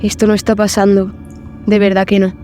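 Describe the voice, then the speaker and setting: A woman's voice: mid-range voice, monotone, a little fast, over music, close to an everyday voice. A woman playing a frightened, desperate lost woman in a dramatized horror audio story. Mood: frightened disbelief. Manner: panicked, desperate, pleading. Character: frightened lost woman, Valeria, alone in a ghost station.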